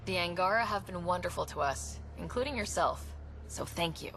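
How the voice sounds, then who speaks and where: A woman's voice: lowish voice, moderate pace, a bit bright, slight background noise. A woman playing a friendly, wry young human explorer in a video game, talking casually. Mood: inquisitive. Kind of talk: dramatic game dialogue. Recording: echoey hall, faint music behind.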